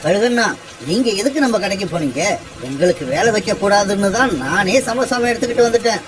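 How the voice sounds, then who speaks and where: A woman's voice: medium pitch, expressive, brisk, close to an everyday voice, poor audio. A woman voicing a sneaky, timid male crow in a cartoon story. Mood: defensive. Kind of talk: dubbed cartoon dialogue.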